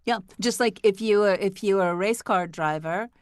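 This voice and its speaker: A woman hosting an online interview, agreeing with the guest and adding a slow, easy analogy. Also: mid-range voice, smooth, no echo, clean studio sound. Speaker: host. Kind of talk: casual interview chat.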